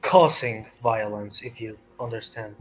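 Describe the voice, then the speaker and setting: A man voicing a weary, stern but patient guard commander in a tabletop game, halting and formal. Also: on the low side. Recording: music playing behind.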